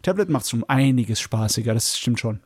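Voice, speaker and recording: A man's voice: mid-range voice, animated, moderate pace, husky, no room sound, clean studio sound. A man co-hosting a podcast who chats casually and laughs while telling stories. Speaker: podcast co-host. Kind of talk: casual chat.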